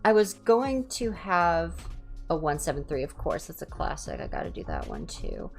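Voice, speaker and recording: A woman hosting a livestream, chatting casually in ASMR style with a low-key, rambling, self-deprecating manner. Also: huge pitch swings, moderate pace, gravelly, faint music behind. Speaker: livestream host and reader.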